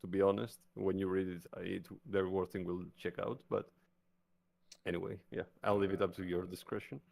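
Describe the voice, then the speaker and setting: A man's voice: deep voice, little pitch movement, a little fast, gravelly, darkish tone. A man chatting casually as a player in a tabletop roleplaying game, hesitant and searching for his words.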